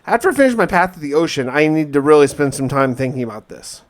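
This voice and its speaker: Male game narrator, slightly high voice, conversational in-character survival diary, thinks out loud. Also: very animated, fast talker. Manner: conversational, musing aloud with rhetorical questions. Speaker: gaming video narrator and player.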